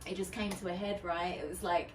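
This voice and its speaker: A woman's voice: lowish voice, level tone, a little fast. Candid woman, roomy distant sound. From United Kingdom. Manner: candid, chatty, many fillers and restarts. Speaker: guest DJ. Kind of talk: casual podcast interview. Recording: roomy, distant mic with faint noise.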